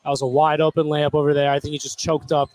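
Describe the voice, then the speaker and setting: A man's voice: a little high, level tone, fast talker, a little mellow, a little hiss or hum. A man giving color commentary and short analysis during a live basketball broadcast.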